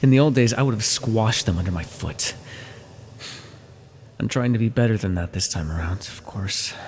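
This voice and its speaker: A man playing an ancient, possessive godlike villain in an intimate ASMR audio roleplay, patient and condescending, with menacing tenderness and a gravelly, husky voice. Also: fairly low pitch, moderate pace, darkish tone, a little hiss or hum, close to an everyday voice. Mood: aggrieved, menacing. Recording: long reverberant echo.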